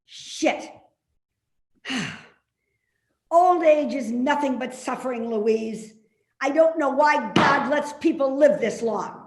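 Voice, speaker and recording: A woman acting an elderly mother in a readers theater play, stubborn, grumbling and sharp-tongued, with a querulous delivery. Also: high voice, slow pace, pauses often. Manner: grumbling, guilt-tripping, pointed. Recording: roomy, echoey sound.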